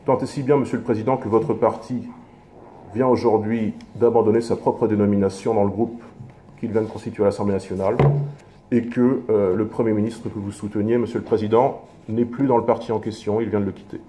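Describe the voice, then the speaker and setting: A man speaking as an opposition councillor in a formal political speech, measured, with halting, self-corrected phrasing. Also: lowish voice, fairly even intonation, dark tone, slight background noise.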